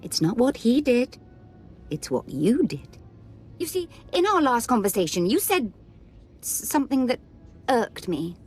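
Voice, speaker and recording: An actress playing a sadistic dark lord in a game, haughty, mocking and deliberate, with playful menace. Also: female voice, on the high side, big pitch swings, slightly rough, faint music behind, full character voice.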